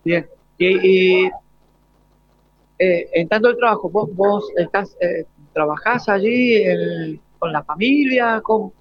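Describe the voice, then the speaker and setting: Argentine guy doing live radio interview, high voice, stumbles over questions, dry but dull audio. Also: no echo. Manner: halting, repeats words while forming questions.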